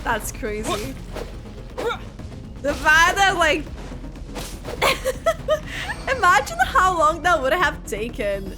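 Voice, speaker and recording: A woman giving casual, expressive reaction commentary while playing through a video game. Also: sits high, slow, pauses often. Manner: excitable, expressive, exclaiming. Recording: loud game music behind the voice. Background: game music.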